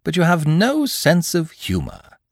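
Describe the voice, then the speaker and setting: A man reading aloud as a cocky, playful gentleman thief who teases and mocks in a theatrical way. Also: huge pitch swings, a little slow, no echo, studio quality, exaggerated voice. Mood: mocking. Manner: teasing, theatrical swings in pitch. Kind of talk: audiobook character reading.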